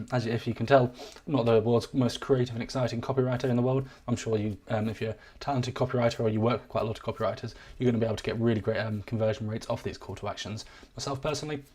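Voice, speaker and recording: A man giving a live-streamed software walkthrough, rambling and self-deprecating, his voice wearing thin. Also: mid-range voice, steady tone, quick pace, hardly any pauses, husky. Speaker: software demo presenter.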